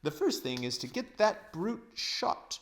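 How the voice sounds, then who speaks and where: Shrill, excitable old gentleman. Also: a little slow, heavily acted. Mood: gleeful, scheming. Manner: gleeful, pompous, grandly exclamatory. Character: vain, greedy, excitable old gentleman in his sixties. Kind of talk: storybook read-aloud character voice.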